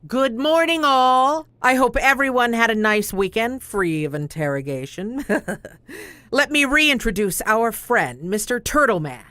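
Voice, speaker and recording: Diplomatic agency manager, corporate polish, animated intonation, dry close recording, audio comedy. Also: female voice, fairly high pitch, measured pace, no room sound. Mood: falsely cheerful. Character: smooth-talking, diplomatic female agency boss hiding a secret. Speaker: voice actor. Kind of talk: comedic audio drama acting.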